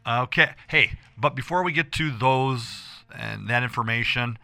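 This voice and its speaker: An American man hosting a casual radio show with a hoarse voice, talking in a rambling, emphatic way and repeating himself as he addresses listeners. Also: medium pitch, unhurried, pushed and bright, no room sound. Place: radio studio.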